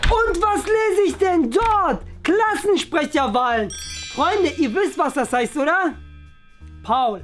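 An actor puts on a cocky, sporty schoolboy with a high voice. He is vain, teasing and boastful, in light children's role-play comedy. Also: animated, slow, music in the background, heavily acted.

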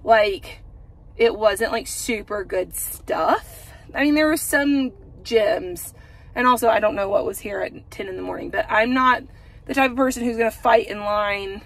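A woman's voice: mid-range voice, a little slow, fairly bright tone. A woman in her thirties, a home decor vlogger, rambling chattily to her phone from a parked car, with lots of fillers. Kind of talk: casual chat to camera. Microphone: phone camera at arm's length.

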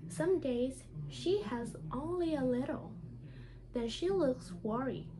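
A woman speaks in careful, halting non-native English, in the style of a teacher reading a children's storybook aloud. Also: high-pitched, very animated, background noise.

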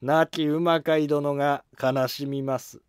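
A commanding old-school general of a historical drama, acted out by a man. He speaks slowly and weightily, composed and taunting, in theatrical phrases over music. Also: fairly high pitch, fairly even intonation, clear, resonant tone, no echo, studio quality, voice pushed up. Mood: calm, reproving. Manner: slow, weighty, theatrical delivery.

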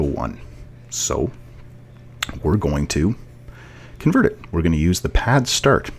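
A man's voice: deep voice, darkish tone, husky. A man giving a casual, step-by-step tutorial narration as a programming instructor, explaining as he goes.

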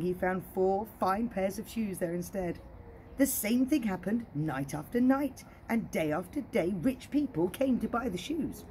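A woman, around her forties, reading a children's story in a playful, expressive way, with chatty asides like a children's entertainer. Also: medium pitch, a little hiss or hum. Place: outdoor garden.

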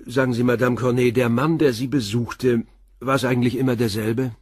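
Guy playing a calm stubborn police commissioner, short probing questions, slightly husky, dry studio sound. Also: mid-range voice, fairly quick, no room sound, natural voice. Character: calm persistent middle-aged police commissioner. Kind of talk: radio drama acting.

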